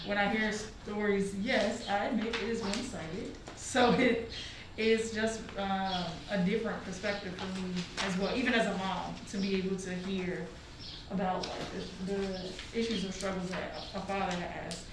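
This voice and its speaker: A woman's voice: medium pitch, fairly even intonation, normal speed, noisy background. Woman, from Chicago, conversational and emphatic, very echoey room, distant mic. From South Side of Chicago, US. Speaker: actress. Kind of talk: casual talk at a cast table read.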